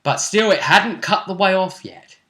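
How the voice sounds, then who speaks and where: A man's voice: sits high, animated, moderate pace, bright, forceful tone. A man speaking as game master, casually narrating a tabletop roleplaying game and checking in with his player in a conversational way. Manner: conversational, addresses the player with tag questions.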